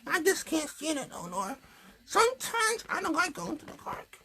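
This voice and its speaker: A man's voice: very high voice, wildly swooping pitch, normal speed, sharp tone, voice pushed up, heavily acted. A man voicing a chatty, sassy young girl puppet who tells stories and complains in colloquial speech. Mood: fed up. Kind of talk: improvised puppet show storytelling.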